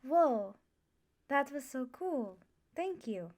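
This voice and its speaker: A woman plays a young female student of magic in an ASMR roleplay. She is friendly, forthright and self-assured, chatting in a fairly high voice. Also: sing-song, slow, darkish tone, exaggerated voice. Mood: eager. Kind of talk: ASMR roleplay, casual introduction.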